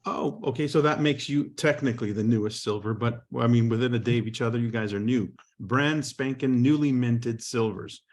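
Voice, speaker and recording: A man's voice: medium pitch, normal speed, few pauses. Encouraging man hosting a team call. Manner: explanatory, encouraging, frequent restarts. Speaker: host of a sales team call.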